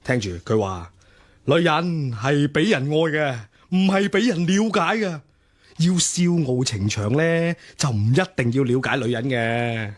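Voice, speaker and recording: A man's voice: medium pitch, lively intonation, measured pace. An actor with a Hong Kong Cantonese accent playing a cocky, flippant young student womanizer in radio drama dialogue, teasing and dispensing mock wisdom. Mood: smug, advising.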